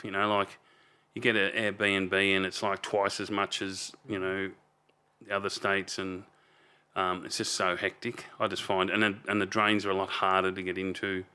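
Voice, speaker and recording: A loud Australian man in his fifties with a fairly low but bright, forceful voice. He tells stories casually and rambles, often trailing off mid-sentence and starting over. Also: clear, resonant tone. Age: 50s. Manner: rambling, trails off and restarts a lot. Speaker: podcast guest. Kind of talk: casual storytelling chat.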